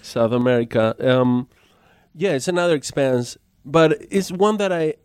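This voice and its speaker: A man's voice: medium pitch, lively intonation, normal speed, a little mellow, clean tone, no echo, studio quality. A man with an Argentine accent, a musician guest in a casual radio interview, speaking in a friendly, hesitant way with many ums. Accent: Argentine Spanish. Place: radio studio.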